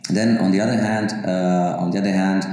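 Man, forties, native Bosnian speaker, hesitant with restarts, dark mellow tone, roomy office echo. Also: sits low, fairly even intonation, fairly quick. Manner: hesitant, many restarts and fillers. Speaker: university professor. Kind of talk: answering interview questions to camera. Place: office with bookshelves, indoors. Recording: very echoey office room.

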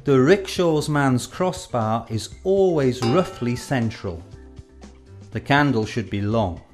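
A man in his forties narrates an educational film at an average pitch. His delivery is scripted and measured, placing deliberate pauses for emphasis. Music plays behind his voice. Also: animated, darkish tone. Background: background music.

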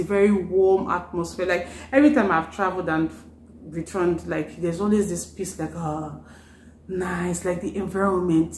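A woman's voice: on the low side, darkish tone. A young woman with a Nigerian accent, chatting casually to the camera from her living room and saying "like" often. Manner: chatty, says "like" constantly, gestures a lot. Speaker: vlogger. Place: living room with a sofa, indoors. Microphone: camera in front of her. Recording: roomy sound with faint background hum.

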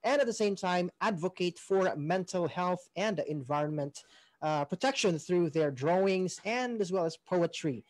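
A woman's voice: lowish voice, expressive, normal speed, a little mellow. A woman with a Filipino accent hosting a radio program, partly scripted with casual asides.

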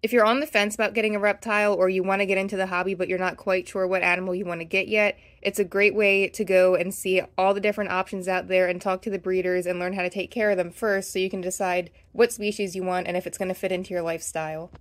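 A woman hosts a hobby vlog in voice-over. She speaks very quickly at an ordinary middle pitch, moving steadily through practical advice in an informational, narrated style. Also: flat delivery. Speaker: vlog host and reptile hobbyist.

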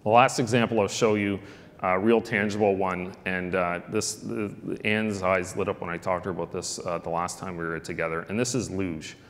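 A middle-aged man presents at a conference lectern in a very low voice, speaking conversationally and pausing on ums and uhs. His voice rings out with a long reverb through a large hall. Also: fairly even intonation. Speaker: keynote speaker on sports analytics. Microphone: lectern microphone.

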